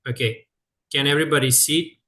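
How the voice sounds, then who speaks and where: Halting man in his thirties. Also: a little high, steady tone, sharp tone. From Serbia. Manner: halting, with frequent filler sounds. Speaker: guest speaker, researcher. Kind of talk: presentation in an online seminar. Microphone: video call.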